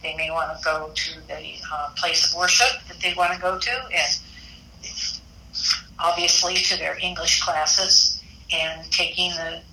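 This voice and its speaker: Woman being interviewed, really sharp bright voice, echoey room w/ faint noise, kinda phone-like. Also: deep voice, flat delivery, a little slow, vocal fry. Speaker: refugee center representative being interviewed. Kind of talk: conversational interview answers. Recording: roomy, faintly noisy, phone-like interview recording.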